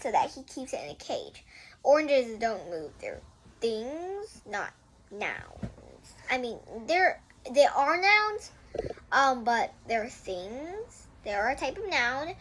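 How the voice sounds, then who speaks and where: High, animated young girl reading aloud. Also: poor audio. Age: child. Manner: explains and digresses often. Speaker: host reading a children's book aloud. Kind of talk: casual read-aloud with chatty asides to viewers.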